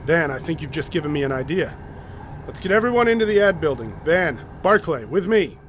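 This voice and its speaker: An actor playing a commanding, methodical leader of a polar expedition in an audio drama, explaining things deliberately and with authority. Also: male voice, fairly high pitch, very animated. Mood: resolute.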